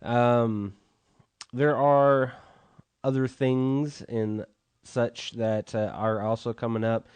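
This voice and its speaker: A man's voice: mid-range voice, fairly even intonation, slow, a little mellow, clean tone, no room sound. A man hosting a radio show, chatting loosely and profanely between songs while stuffed up from a cold. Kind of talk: casual rambling on-air chat.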